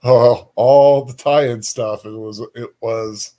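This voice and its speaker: A man's voice: medium pitch, fairly even intonation, slow pace, dark tone. A middle-aged man co-hosting a livestream, chatting casually as a fan. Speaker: livestream co-host.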